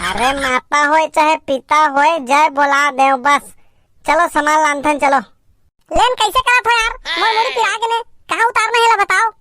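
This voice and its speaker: A man voicing an excitable, grumbling villager in a cartoon comedy, with a Chhattisgarh accent and an exclamatory, teasing delivery through a pitched-up effect. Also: moderate pace, dry recording. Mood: delighted. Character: excitable, grumbling male villager on a picnic outing.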